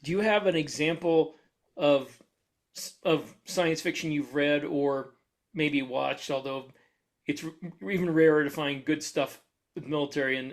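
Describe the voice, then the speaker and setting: A man hosting a podcast in a casual, chatty interview style. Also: a little high. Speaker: podcast host.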